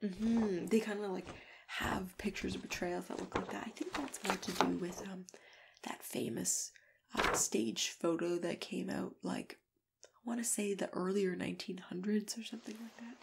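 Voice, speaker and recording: A soft-spoken woman in an ASMR consultant roleplay, with a lowish-to-middling pitch and plenty of fry. She drifts between breathy murmur and whisper, gentle and unhurried. The mic is close, with clear noise of typing and clicks around her voice. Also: fairly even intonation. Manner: gentle, unhurried, halting, with small agreeing hums. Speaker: ASMR roleplayer acting as a monster matchmaking consultant. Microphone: close microphone.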